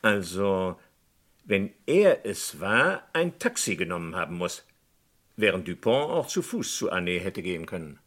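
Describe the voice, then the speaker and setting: A man's voice: low voice, big pitch swings, a little slow, fairly bright tone, full character voice. A man playing an inquisitive, keen and polite investigator in a German radio crime drama of the mid twentieth century, with probing, theatrical inflection. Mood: deducing.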